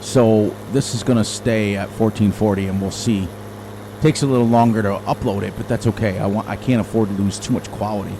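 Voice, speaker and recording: Middle-aged man, lowish voice, casual rambling game commentary, engine noise behind. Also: steady tone, brisk. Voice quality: loud voice. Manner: rambling, self-correcting, thinking aloud. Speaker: gaming channel host. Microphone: desk microphone turned down low.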